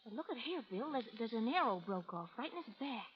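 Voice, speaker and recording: A woman's voice: fairly high pitch, big pitch swings, a little fast. An actress playing a brash, folksy woman stagecoach driver of the American West with a Western drawl, in old radio drama style. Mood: alarmed. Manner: folksy, blunt. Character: brash, rough-talking frontier stagecoach driver woman, good-hearted. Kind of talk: 1930s-40s radio drama acting. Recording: dull vintage radio recording.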